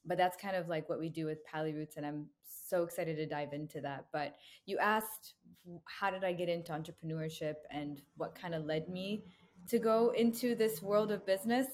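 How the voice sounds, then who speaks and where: A young woman from the United States, a brand co-founder talking earnestly in a casual interview, with frequent "you know" fillers. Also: low-pitched. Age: young adult.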